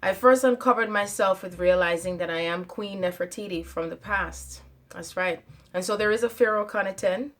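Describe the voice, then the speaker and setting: A woman's voice: mid-range voice, moderate pace. A woman talking straight to her viewers in a spiritual monologue, stating her claims with emphasis, often repeating phrases and stopping on fillers. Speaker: channel host.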